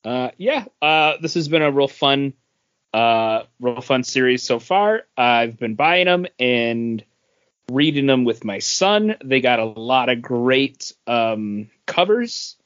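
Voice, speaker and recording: A man with a mid-pitched voice chats casually as a podcast co-host. The sound is very dry and close, with a slightly dull, lo-fi tone. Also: lively intonation, slow pace, a bit bright, dry recording. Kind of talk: casual chat.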